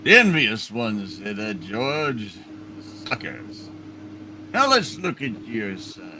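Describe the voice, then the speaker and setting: A man voicing a pompous, wheedling old small-town tycoon in a hammed-up radio play reading, deliberate in manner, with room noise around him. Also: fairly low pitch, huge pitch swings, bright, forceful tone, pitched below the performer's own voice, full character voice. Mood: scheming. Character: Mister Potter, scheming old male small-town tycoon.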